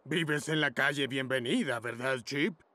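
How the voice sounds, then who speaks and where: A man's voice: mid-range voice, unhurried, sharp tone. A man voicing an easygoing, helpful animal bus driver in a preschool cartoon, with a reassuring manner.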